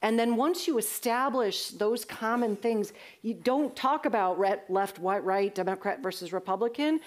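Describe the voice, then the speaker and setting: A woman's voice: mid-range voice, normal speed. Earnest woman panelist. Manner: earnest, conversational, frequent restarts. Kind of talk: panel discussion answering audience.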